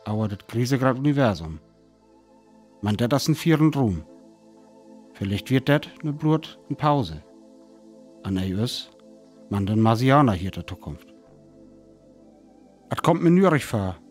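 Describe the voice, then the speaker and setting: Male radio drama narrator: reflective war survivor, North Frisian accent, solemn delivery, mid-pitched, faint music underneath. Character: reflective male survivor writing his account of a Martian war. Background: soft music.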